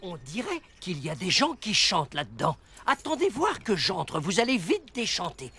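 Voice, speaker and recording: A menacing villain from an animated film. The voice is sharp and lively in pitch, grumbling threats over background music. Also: male voice, normal speed, full character voice. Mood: menacing, irritated. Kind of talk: French animated film dub.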